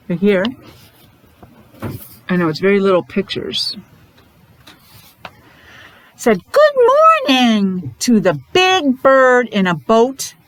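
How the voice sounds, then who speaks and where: A woman's voice: medium pitch, a little hiss or hum. A woman teacher reading a picture book aloud to young children, explaining it simply in short, repetitive asides. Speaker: preschool or special-needs teacher.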